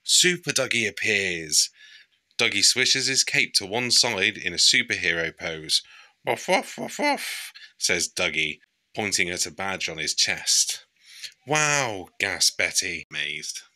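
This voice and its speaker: A man's voice: low voice, unhurried, pushed and bright, no echo. A man narrating a children's bedtime story as a read-aloud storyteller.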